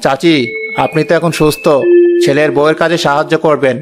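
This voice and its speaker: A man voicing a stern, principled village doctor in an animated story, speaking in an admonishing way. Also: on the high side, brisk, natural voice. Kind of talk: Bengali animated horror story acting. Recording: music under the voice.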